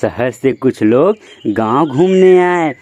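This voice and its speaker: A man narrating a children's moral story in a measured, deliberate storytelling manner. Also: mid-range voice, lively intonation, a little mellow.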